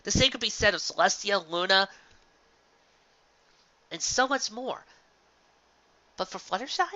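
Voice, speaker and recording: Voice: mid-range voice, unhurried, sharp tone, no echo. A person reading and discussing fan fiction in an emphatic, informal, dramatic way. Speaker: fan fiction reader and reviewer. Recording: dull lo-fi sound.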